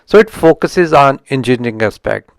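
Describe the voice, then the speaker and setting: Indian-accented man, smooth and dry. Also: medium pitch, animated, no echo. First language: not English. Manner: halting, with frequent restarts and self-corrections. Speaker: channel host reviewing a book. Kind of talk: unscripted spoken book review.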